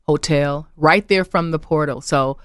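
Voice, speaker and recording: A middle-aged woman from Chicago, a travel business owner talking as a guest in a casual interview, animated and repeating herself as she goes. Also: low-pitched, measured pace, smooth, no echo, studio quality. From Chicago, USA. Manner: animated, repeats words and restarts often, laughs easily.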